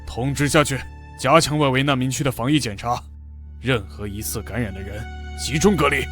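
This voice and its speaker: A calculating army commander acted by a man in an animated comic drama. His medium-pitched voice gives orders with cold authority over clearly audible music. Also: fairly quick, natural voice. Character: cold, scheming male military commander. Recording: music behind the voice.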